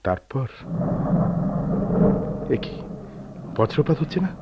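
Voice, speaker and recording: A man's voice: medium pitch, expressive. Brooding lovelorn man, noisy recording. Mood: uneasy. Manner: theatrical, poetic, measured delivery. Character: brooding, lovelorn man haunted by an old love. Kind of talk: poetic Bengali radio drama acting. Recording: muffled, noisy old radio play recording.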